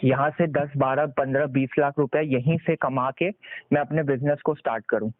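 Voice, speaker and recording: A man giving a persuasive sales pitch over the phone, explaining step by step, mixing in English business terms and checking for agreement. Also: steady tone, normal speed, no echo. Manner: explanatory, often seeks confirmation with tag questions. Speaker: sales consultant on a call. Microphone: recorded phone call. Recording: muffled lo-fi phone call recording.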